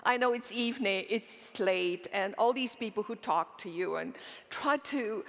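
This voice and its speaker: A woman's voice: medium pitch, a little slow, vocal fry, a bit bright. A middle-aged woman from Romania giving an earnest conference keynote, posing rhetorical questions to the audience and answering them herself. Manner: earnest, with frequent fillers and restarts. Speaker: keynote speaker. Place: conference stage in a large hall. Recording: long hall reverb on stage.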